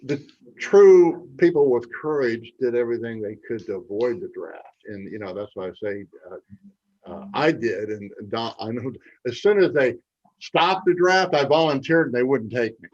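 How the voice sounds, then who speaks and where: A man in his eighties, host of a newsletter, chatting casually in a rambling way with restarts and emphatic outbursts, getting over an illness and clearing his throat. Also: medium pitch, moderate pace, a little mellow, highs cut off. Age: 80s. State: recovering from covid, clears throat. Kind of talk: casual chat. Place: Mexico's Baja coast.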